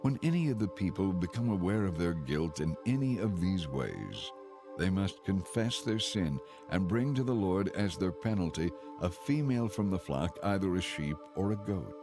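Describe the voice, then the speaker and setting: Deep-voiced man solemnly reading scripture. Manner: measured, formal reading. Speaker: audiobook narrator.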